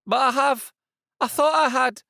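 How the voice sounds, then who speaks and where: A man's voice: very high-pitched, measured pace, vocal fry, bright, forceful tone, no room sound, studio quality, pitched above the performer's own voice, heavily acted. A man voicing a starving, frightened woman who pleads for mercy, in an audiobook. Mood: desperate. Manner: pleading, trembling.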